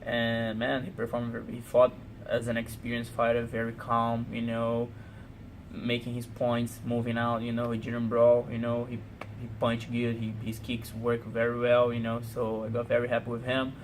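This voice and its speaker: A man from Brazil with a Portuguese accent, speaking halting English full of "you know" in a casual interview. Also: mid-range voice, monotone, slight background noise. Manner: frequent "you know" fillers, halting non-native English. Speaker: pro fighter.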